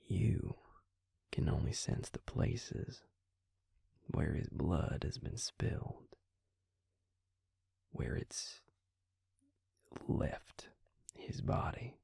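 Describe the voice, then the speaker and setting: A man playing a patient, grave vampire in an intimate audio roleplay, speaking husky, breathy and low, with hesitant, deliberate pauses. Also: lively intonation, mellow, no echo, whispered, studio quality, exaggerated voice. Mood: gentle, explaining. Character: male vampire, patient, grave, quietly explaining lore.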